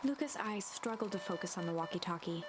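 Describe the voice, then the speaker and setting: A woman's voice: lowish voice, animated, quick pace, background noise, close to an everyday voice. A woman playing the solemn storybook narrator of a mystery video game, reading scripted narration. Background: music.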